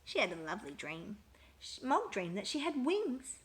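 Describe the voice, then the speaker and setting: A woman reading a children's picture book aloud with expressive, emphatic storytelling. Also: a little high, normal speed.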